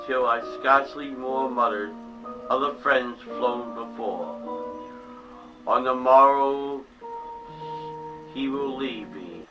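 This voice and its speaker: A man giving a theatrical, dramatic reading of a poem, dropping to hushed tones and sometimes stumbling and restarting. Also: medium pitch. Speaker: reader of a poem. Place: small home museum room. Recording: distant mic with eerie music behind.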